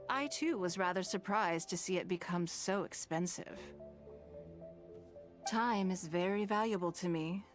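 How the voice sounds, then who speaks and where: A woman voicing a wealthy, shrewd, self-assured noblewoman in a video game, speaking in a measured, formal way with calm, teasing poise. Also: medium pitch, close to an everyday voice. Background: game background music.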